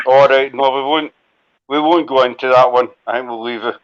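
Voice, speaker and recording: A man's voice: mid-range voice, fairly even intonation, normal speed. Scottish guy rambling on a live chat, jokes at his own expense, kinda muffled lo-fi audio. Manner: chatty, rambling, self-deprecating. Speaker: host. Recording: dull lo-fi audio.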